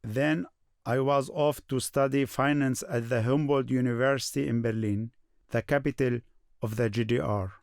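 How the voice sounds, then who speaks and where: An actor playing a Syrian man looking back on his student years, narrating in a measured, reflective way. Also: mid-range voice, fairly even intonation, darkish tone, dry recording, clean studio sound, close to an everyday voice. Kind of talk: scripted first-person documentary narration.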